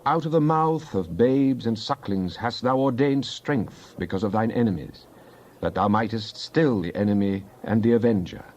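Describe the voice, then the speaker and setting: A man's voice: on the low side, normal speed, a little mellow. A man playing a fervent psalmist in a dramatized Bible reading, solemn and declamatory in archaic scripture language. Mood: exalted praise. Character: fervent psalmist pleading with and praising God.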